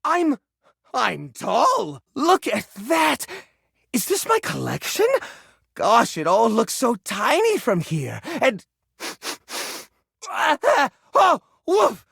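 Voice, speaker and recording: A fussy, proud junk drawer come to life as a game character. His pitch swings widely and excitably through theatrical, quaint exclamations. The voice has a creaky edge and is recorded close and dry. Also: very slow, dry recording, full character voice. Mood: startled, overwhelmed. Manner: theatrical, folksy old-fashioned exclamations. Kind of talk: video game character acting.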